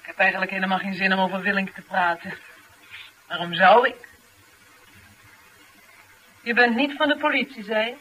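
A woman's voice: medium pitch, normal speed, pauses often, sharp tone, close to an everyday voice, low-quality recording. An actress playing a rude, mocking young woman with a brusque, insolent manner in a Dutch radio drama. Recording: radio drama with light background noise.

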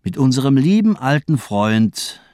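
A cultivated, sadistic doctor in a crime radio play. He has a mid-pitched voice and speaks with controlled, mocking courtesy and eloquence. The sound is dry and close, with no room on it. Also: sing-song, measured pace, mellow, no room sound. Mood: gloating, mocking. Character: sinister, cultivated, sadistic male doctor toying with his captive. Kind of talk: German radio crime drama acting.

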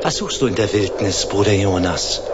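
An actor playing a solemn, fanatical doomsday sect preacher in a radio play, declaiming pious archaic scripture in grandiose style. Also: male voice, on the low side, expressive, normal speed, lightly acted. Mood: solemn zeal. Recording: muffled, noisy outdoor scene sound.

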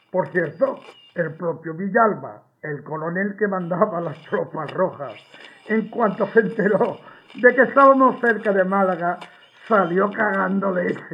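Gloating high-pitched fascist radio general. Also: male voice. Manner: boastful, sneering, mock-sincere. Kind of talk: 1930s wartime radio address acting. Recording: dull, band-limited old radio sound.

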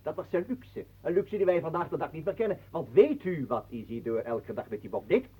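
A man's voice: a little high, sing-song, a little fast, husky. An actor playing a sly, teasing showman and storyteller in a theatrical radio drama. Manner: theatrical, insinuating. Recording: muffled, phone-like old radio recording.

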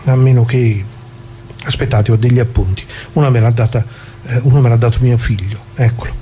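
An actor playing a dead retired working-class man, bitter and plain-spoken, addressing listeners in a halting, repetitive theatrical radio monologue. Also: mid-range voice, fairly even intonation, dark tone, a little hiss or hum, close to an everyday voice. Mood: reflective, doubtful. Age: older.

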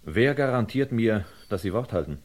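Deep-voiced, wary, determined man. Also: expressive, normal speed, a little mellow. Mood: firm, bargaining. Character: wary, determined man bargaining and probing an old murder. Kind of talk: German radio crime drama acting.

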